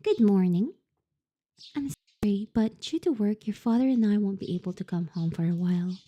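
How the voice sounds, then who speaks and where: A woman's voice: mid-range voice, expressive, normal speed, mellow, lo-fi sound, natural voice. A woman voicing a busy working mother's text message to her daughter, read matter-of-factly.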